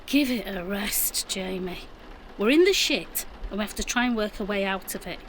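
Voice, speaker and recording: Lively-pitched defensive Yorkshire mum, played by a woman. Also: moderate pace, a little hiss or hum, close to an everyday voice. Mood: exasperated. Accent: Yorkshire English. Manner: matter-of-fact, defensive. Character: middle-aged mum, defensive, ditzy yet practical. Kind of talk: naturalistic audio drama acting.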